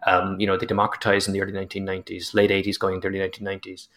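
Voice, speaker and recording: A man's voice: deep voice, monotone, racing, a bit bright. A man in his forties with an Irish Limerick accent, a history professor chatting rambly as an interview guest. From Ireland. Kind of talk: relaxed interview answers.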